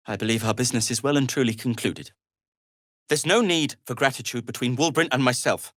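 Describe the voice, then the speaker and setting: A man's voice: medium pitch, fairly bright tone, dry recording, studio quality. A man playing a fussy, loyal, long-suffering middle-aged gnome in a video game, hesitant and stammering, with wry, formal wording. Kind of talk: video game character acting.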